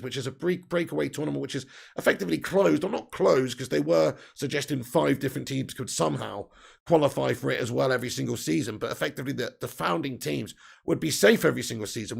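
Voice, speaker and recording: Croaky, gravelly man ranting. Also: fairly high pitch. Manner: heated, sweary ranting with laughs. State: croaky, tired voice. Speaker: football fan channel host. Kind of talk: live stream chat reading viewer comments.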